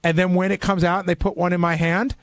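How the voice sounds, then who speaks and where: A man's voice: high-pitched, level tone, fast, dry recording, clean studio sound. A man who works on a radio show, arguing casually on air in a defensive, insistent manner. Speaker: radio show staff regular. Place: dry radio studio.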